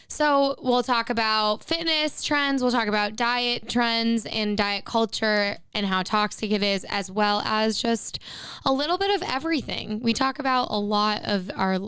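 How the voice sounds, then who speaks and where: A woman's voice: on the high side, a bit bright, smooth, no echo. A young woman co-hosting a casual podcast, chatty and laughing often, acting out the people she quotes. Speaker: podcast co-host. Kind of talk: casual chat.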